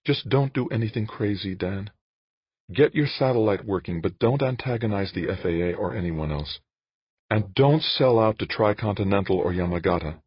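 A man's voice: lowish voice, animated, moderate pace, breathy edge, no room sound, dull audio, pitched above the performer's own voice. A man voicing an anguished woman politician in an audiobook, earnest and pleading with her lover. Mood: tender, urgent. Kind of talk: audiobook character dialogue.

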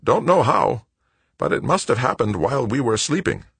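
Salty, exasperated lunar mechanic for audiobook, male reader putting on a raised, rough voice with working-class bluntness. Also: medium pitch, wildly swooping pitch, normal speed, a bit bright, no room sound, pitched above the performer's own voice, exaggerated voice. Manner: methodical cursing, blue-collar speech. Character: Wojo, gruff cursing male lunar worker, exasperated, salty-tongued. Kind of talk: audiobook character voice.

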